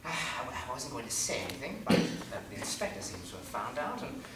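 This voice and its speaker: A woman playing a nervous, stammering upper-class gentleman in a staged reading of a period murder mystery. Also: very deep voice, sing-song, quick pace, crowd noise. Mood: nervous, confessing. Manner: hesitant, stammering, flustered. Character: nervous, stammering upper-class Englishman, guilty younger brother in love. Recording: echoey room, distant mic, noisy.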